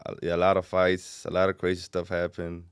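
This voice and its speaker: A man in his thirties from Kenya, answering interview questions in a relaxed, casual way with short replies. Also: low-pitched, a little fast, smooth, no echo, clean studio sound. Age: 30s. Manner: relaxed, short answers with "you know" and "yeah". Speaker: podcast guest.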